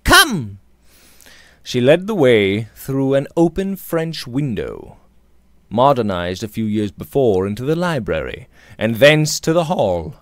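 Guy in his 30s reading an old novel, very animated pitch, dry close mic. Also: unhurried, no echo. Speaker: audiobook reader. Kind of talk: scripted literary narration. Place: small room indoors. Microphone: close condenser mic on a boom arm.

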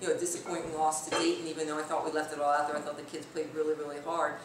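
A woman's voice: low voice, flat delivery, very fast. A woman in her forties, a basketball coach, answering postgame interview questions. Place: indoor room with block and stone wall. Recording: very echoey, distant, noisy room.

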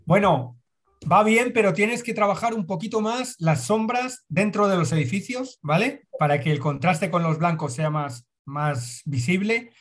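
A man's voice: high-pitched, measured pace. A middle-aged man, a watercolor teacher, giving encouraging critiques of students' paintings over a video call from a room at home, often repeating himself as he searches for words.

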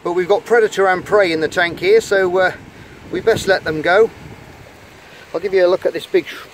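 A man's voice: high-pitched, fairly even intonation, moderate pace, low-quality recording. A middle-aged man casually narrating a nature vlog outdoors at rock pools, chatty and enthusiastic as he handles sea creatures. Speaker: channel host. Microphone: camera held in hand at the shore. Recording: outdoor wind noise on mic.

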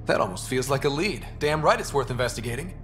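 A man as a brisk, lively young space explorer. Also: medium pitch, soft background music, natural voice. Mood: determined. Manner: casual, brisk, determined. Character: young male space explorer, casual, determined leader. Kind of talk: scripted video game dialogue.

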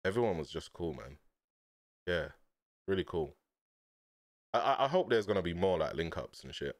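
A man in his thirties tells stories on a stream, slangy and laid-back, breaking into laughter. His low voice swings up and down a lot. The sound is close and dry from a headset mic. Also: expressive, normal speed, no room sound. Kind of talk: casual stream chat. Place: small dim room at home.

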